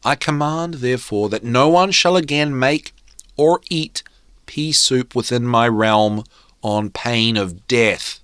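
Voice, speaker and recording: A man's voice: mid-range voice, takes it slowly, a bit bright, clean tone, no echo. A man voicing a bossy, vain young storybook king in a pompous, declamatory manner. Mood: pompous, disapproving. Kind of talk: storybook character reading.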